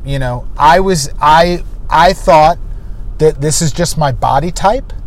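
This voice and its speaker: A man's voice: a little high, slow, rough recording. A middle-aged man hosting a podcast, talking candidly and self-mockingly about his own life in a personal monologue. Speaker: podcast host.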